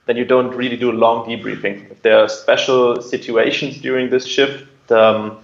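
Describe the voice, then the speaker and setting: Man, gravelly creak, conversational explaining, roomy echo, dulled audio. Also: mid-range voice, flat delivery, normal speed, lo-fi sound. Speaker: air traffic controller, interview guest. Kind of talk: conversational interview answers, explaining.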